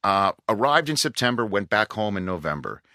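A man's voice: lowish voice, big pitch swings, a little fast, bright, forceful tone, no echo, clean studio sound. A middle-aged man from New York hosting a podcast in a small curtained-off room, telling anecdotes and repeating himself as he talks. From New York, USA. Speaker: podcast host. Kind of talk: casual interview chat.

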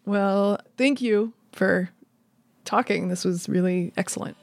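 A woman's voice: mid-range voice, slow pace, no echo, clean studio sound. A woman hosting a podcast, speaking as a presenter in the manner of show sign-offs and credits. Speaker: podcast host.

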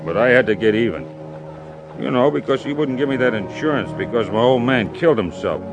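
An actor playing a simple-minded young man who poisoned someone and brags about his cunning, in halting, childlike speech. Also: medium pitch, animated, highs cut off, over music, natural voice. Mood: boastful. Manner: slow, halting, childlike boasting. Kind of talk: 1950s radio drama acting. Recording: old radio broadcast recording.

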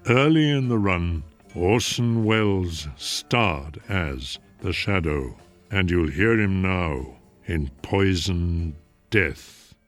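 Male show host, low and measured, scripted introductions over faint music. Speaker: host of classic radio show. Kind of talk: scripted presenting.

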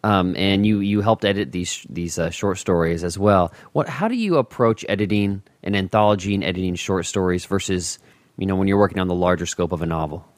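A man with a very low voice talks as a podcast host in a casual interview manner. The sound is dry and close, with little room around it. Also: fairly quick, no echo.

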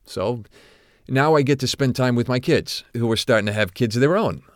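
An actor playing an older, guilt-ridden retired corporate executive who confides haltingly, as in an audio drama interview. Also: male voice, medium pitch, no room sound, clean studio sound, lightly acted. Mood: weary, resigned. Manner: hesitant, confessional, halting.

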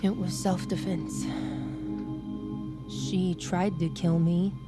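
A woman's voice: fairly low pitch, flat delivery, slow. Young woman soldier, defiant, traumatized, naturalistic audio drama, strong music bed behind. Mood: anguished confession.

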